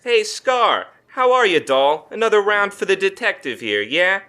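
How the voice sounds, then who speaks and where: An actor playing a cocky, chatty 1950s mobster in a noir radio drama, with a breezy, mocking, slick way of talking. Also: male voice, sits high, very animated, normal speed, bright, forceful tone, exaggerated voice. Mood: breezy, sly.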